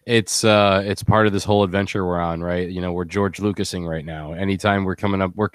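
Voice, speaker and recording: A man's voice: sits low, steady tone, brisk, smooth, no echo, studio quality. A man chatting casually on a live stream as an audio drama creator, slangy, profane and wisecracking.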